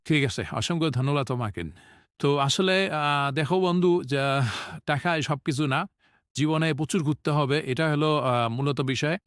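A man's voice: fairly high pitch, moderate pace, pauses often, clear, resonant tone, no echo. Young man, casual vlog chat. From Bangladesh. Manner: relaxed, hesitant with many fillers. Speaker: vlogger interviewing a friend. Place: outdoors in a park.

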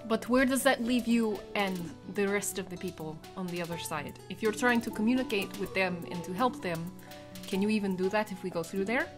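Woman player, mid-pitched, role-playing. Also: a little fast. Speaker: tabletop game player. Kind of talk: conversational in-character role-play. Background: faint music.

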